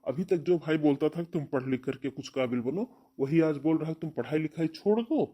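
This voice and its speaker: A man playing a gentle, worried middle-aged father in a cartoon family melodrama, soothing and questioning. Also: a little high, a little fast, close to an everyday voice. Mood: dismayed, soothing. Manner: concerned, soothing. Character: concerned, gentle middle-aged father comforting his child.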